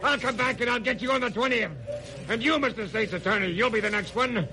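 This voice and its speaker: An actor playing a defiant condemned gangster in an old radio crime drama, spitting slangy, menacing threats. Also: male voice, very high-pitched, brisk, crowd noise, low-quality recording. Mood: vengeful rage. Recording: vintage radio drama recording.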